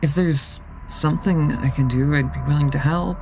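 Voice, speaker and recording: A woman's voice: low-pitched, moderate pace, warm tone, soft background music, low-quality recording. A woman playing a nervous, polite young vampire in an audio drama, hesitant and stammering. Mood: meek, hesitant.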